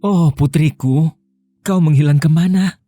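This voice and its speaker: A woman's voice: sits low, unhurried, mellow, pitched below the performer's own voice. A woman voicing a puzzled young male prince in a children's cartoon. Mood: relieved. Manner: puzzled, questioning. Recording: long reverb with music behind.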